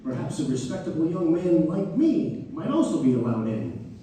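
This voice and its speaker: A man's voice: on the high side, very animated, normal speed, mellow. A man playing Harry Houdini as a proud, fussy young escape artist composing a letter in a theatrical read-through. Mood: proud, cheerful. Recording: distant mic in echoing hall, faint noise.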